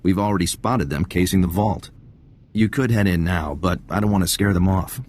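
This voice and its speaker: A man's voice: low-pitched, moderate pace, a little mellow. A man playing a wry, unflappable intelligence agent in a video game, talking in a casual, understated way. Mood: wry, relaxed. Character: smooth male intelligence field agent, adult, wry, unflappable. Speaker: intelligence agent briefing an operation. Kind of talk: video game dialogue, conversational.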